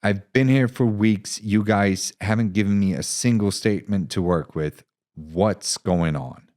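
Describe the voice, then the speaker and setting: A man's voice: on the low side, measured pace, a little mellow, voice pushed up, natural voice. A man voicing a frustrated, idealistic war journalist in an audio story, speaking earnestly and insistently. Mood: frustrated, challenging.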